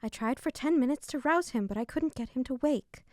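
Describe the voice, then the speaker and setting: A woman's voice: sits high, fairly quick, a little mellow, no room sound, studio quality, natural voice. A woman playing a firm, diplomatic queen in an audio drama, speaking with composure. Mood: firm, composed. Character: firm, diplomatic fairy queen, the king's wife.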